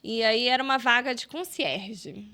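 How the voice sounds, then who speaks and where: A woman with a Brazilian Portuguese accent, a podcast guest recounting her career in a lively, chatty way full of fillers and quoted self-talk. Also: on the high side, moderate pace. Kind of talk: casual storytelling interview.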